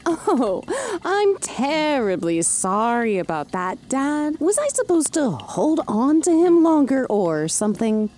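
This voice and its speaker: A caring, sardonic young woman, played by an actress in an audio drama. Her delivery is expressive, with lively swings in pitch. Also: slow, a little hiss or hum, heavily acted. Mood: sarcastic.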